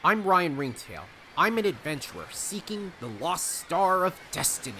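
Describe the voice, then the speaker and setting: An actor playing an earnest raccoon adventurer hero in an audio drama. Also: male voice, high-pitched, big pitch swings, normal speed, pushed and bright, a little hiss or hum, exaggerated voice. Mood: determined. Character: young heroic raccoon adventurer, earnest and quick to react.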